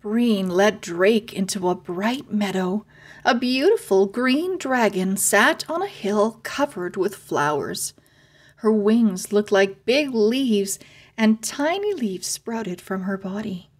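A woman narrating a children's chapter book aloud, with careful, expressive storybook phrasing. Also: mid-range voice, slow pace. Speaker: read-aloud host and narrator.

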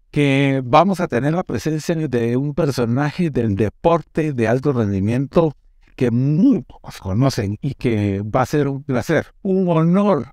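Animated male show host. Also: medium pitch, no echo, studio quality. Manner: animated, emphatic, lots of gestures. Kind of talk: studio interview. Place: studio set with classroom backdrop. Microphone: lapel mic.

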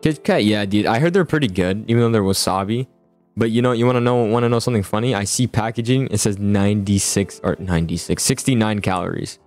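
A young man with a fairly low voice hosts a casual, improvised game roleplay. He rambles, repeats phrases and stumbles into his sentences. Soft music plays underneath. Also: quick pace. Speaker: host of a gaming roleplay. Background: background music.

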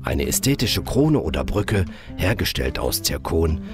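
A man's voice: deep voice, big pitch swings. A man reading a formal, scripted voice-over for a clinic promo. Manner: formal, measured. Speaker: promo narrator. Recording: voice-over with guitar music. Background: guitar music.

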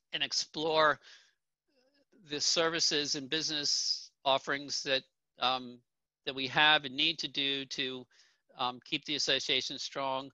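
A man's voice: mid-range voice, bright, forceful tone. A middle-aged man presenting slides at an online association town hall, plain-spoken with frequent ums and restarts. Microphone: video call webcam. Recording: dull, dry video call audio.

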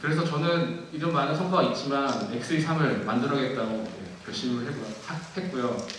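A young man giving a technical keynote at a conference, speaking in a measured way with frequent fillers and restarts. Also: medium pitch, fairly bright tone, slight background noise. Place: large dark auditorium. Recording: echoey hall from far off.

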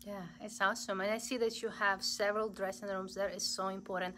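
Bright, gushing young woman interviewer. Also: medium pitch, little pitch movement, fairly quick, a little hiss or hum. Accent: Southern American. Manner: enthusiastic, gushing praise. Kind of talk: casual live store tour interview. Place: large indoor clothing boutique. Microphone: handheld phone camera.

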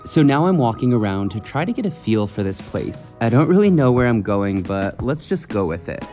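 Casting: man in his 30s, travel vlogger, upbeat and curious, handheld camera sound, soft music underneath. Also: mid-range voice, very animated, normal speed. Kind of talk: travel vlog with voice-over narration. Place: large indoor shopping mall. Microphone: action camera held at arm's length.

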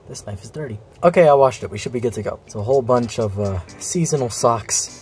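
A young man unboxing a gift package at his desk, swearing and laughing as he goes. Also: fairly low pitch. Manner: excitable, swearing, laughing often. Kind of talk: casual unboxing vlog. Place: indoors at a desk.

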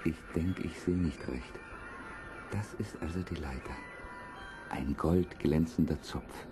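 An actor playing an earnest, ardent young prince in a fairy-tale audio drama, declaiming formally. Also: male voice, a bass voice, slow pace, music in the background. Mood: determined.

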